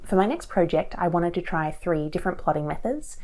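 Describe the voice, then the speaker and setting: A woman in her thirties speaks in a slightly low, mellow voice, a little raspy as she recovers from a cold. Her talk is casual and rambling, full of "so" and "um" as she chats to camera. It is recorded on an action camera's built-in mic inside a small shipping-container room. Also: lively intonation, fairly quick. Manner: chatty and rambling, with frequent "so" and "um". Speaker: aspiring fiction author and channel host. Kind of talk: casual talking-to-camera vlog.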